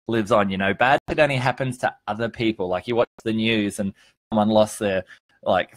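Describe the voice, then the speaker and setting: A man in his early thirties hosting a casual motivational livestream. His voice sits at an average male pitch and is recorded dry and close. He talks informally and earnestly, leaning on points and swearing freely. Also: no echo. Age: early 30s. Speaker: vlogger hosting a live stream. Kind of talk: casual motivational livestream chat, answering viewers.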